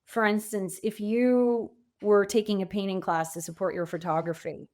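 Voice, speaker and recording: A woman talks as a coaching guest on a podcast. Her voice sits at a medium pitch. She speaks reflectively in a conversational way, leaning on key phrases for emphasis. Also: level tone, moderate pace, a little mellow.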